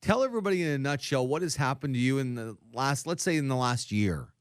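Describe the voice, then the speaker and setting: A man's voice: medium pitch, animated, fast, clear, resonant tone, no echo, clean studio sound. A man hosting a morning radio show, interviewing a guest and laughing along. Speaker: radio host. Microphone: studio mic.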